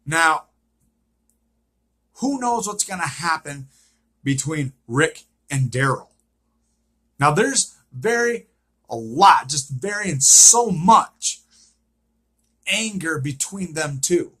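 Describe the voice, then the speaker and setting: A man's voice: fairly high pitch, lively intonation, takes it slowly, pauses often, fairly bright tone, breathy edge. A man giving an unscripted, casual recap of a TV series, repeating himself and often checking in with a questioning okay. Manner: repetitive, checks in with frequent "okay?".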